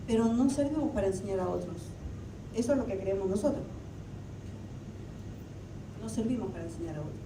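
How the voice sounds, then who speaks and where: A woman's voice: lively intonation, brisk, long pauses. A woman from Argentina preaching a sermon to a church, chatty, with many rhetorical questions. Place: church hall. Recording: echoey hall, mic at a distance, faint rumble.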